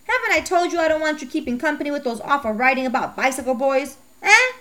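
A woman playing a sharp, scolding mother. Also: high voice, lively intonation, quick pace, pitched above the performer's own voice. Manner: scolding, nagging questions. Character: strict scolding mother, middle-aged woman.

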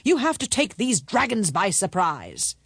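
Young hero, female reader: self-assured, sceptical, lively intonation, storybook bravado, slightly rough tone. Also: mid-range voice, a little slow, dry recording, studio quality, heavily acted. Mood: smug. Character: confident, sceptical young sons off to slay a dragon.

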